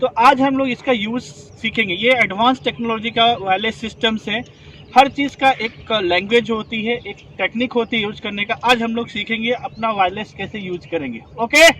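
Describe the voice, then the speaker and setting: A man with a strikingly high voice leads a hands-on training session for rescue volunteers. He explains step by step and keeps checking that the class has understood. The recording is made outdoors. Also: normal speed, bright, forceful tone, a little hiss or hum, rough recording. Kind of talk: instructional demonstration.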